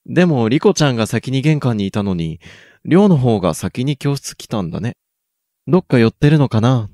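A man's voice: mid-range voice, very animated, fairly quick, no echo, studio quality, lightly acted. A young man playing a teasing, supportive schoolboy in a radio drama. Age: young adult. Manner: playful, gently needling. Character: teasing, supportive schoolboy best friend Ayato. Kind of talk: Japanese student radio drama acting.

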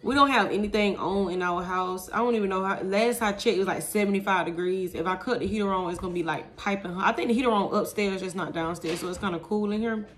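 A woman's voice: mid-range voice, fast, hardly any pauses. Chatty young mom vlogging in her kitchen. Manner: chatty, confiding, talks to viewers as y'all. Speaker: vlogger, mother of five. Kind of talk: casual vlog chat. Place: home kitchen and pantry, indoors. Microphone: phone camera, handheld or propped up.

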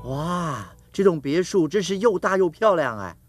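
An actor playing a humble, naive young traveller in a folk-tale cartoon, earnest, grateful and exclamatory. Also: male voice, fairly high pitch, sing-song, normal speed, music in the background. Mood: naive wonder. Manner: earnest, wide-eyed, exclamatory.

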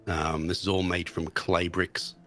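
Guy with a really low voice, a bit thick from tonsillitis, umming along over faint music. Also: flat delivery, measured pace, fairly bright tone. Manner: hesitant, many restarts and ums. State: recovering from tonsillitis. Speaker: guest streamer. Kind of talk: casual chat about a game.

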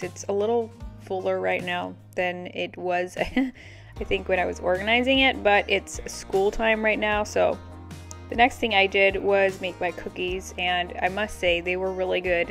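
Casting: American woman, thirties, slightly low voice, informal homemaking vlog voice-over with music behind. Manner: chatty, informal. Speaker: homemaking vlogger. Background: background music.

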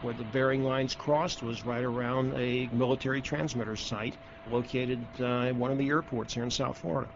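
A man's voice: medium pitch, fairly even intonation, normal speed, creaky, few pauses. A man recounting things matter-of-factly in an interview, in muffled, noisy audio.

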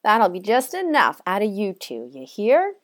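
A woman's voice: medium pitch, wildly swooping pitch, normal speed. A woman playing a folksy, chirpy small-town police officer. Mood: perky, firm.

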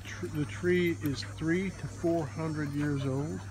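A man's voice: fairly high pitch, steady tone, slow, poor audio. A man filming a casual travel vlog outdoors, chatting and explaining sights to his companion. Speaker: vlogger filming behind the camera. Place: outdoors in a busy market and park. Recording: loud outdoor background noise.

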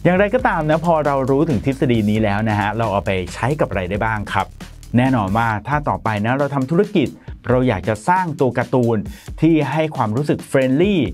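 Male presenter, 30s, animated studio explainer, lapel mic, light music bed. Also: medium pitch, fairly quick. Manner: polite, chatty, frequent soft particles. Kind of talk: explainer talk to camera. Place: bright studio in front of a plain grey backdrop.

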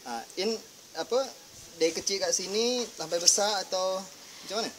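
A young man hosting a travel vlog outdoors, chatty and brisk, asking quick questions into a handheld mic. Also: high voice, fairly bright tone, rough recording. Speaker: travel vlogger. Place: outdoors by a village road. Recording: light outdoor background noise.

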